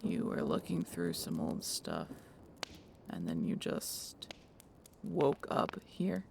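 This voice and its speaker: Gravelly, grumpy woman acting a low-voiced harpy. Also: sing-song, takes it slowly, noisy background, exaggerated voice. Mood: suspicious. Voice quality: gravelly, creaky. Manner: curt, sarcastic, swearing. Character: grumpy, distrustful female harpy, blunt and sardonic. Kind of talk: audio roleplay acting.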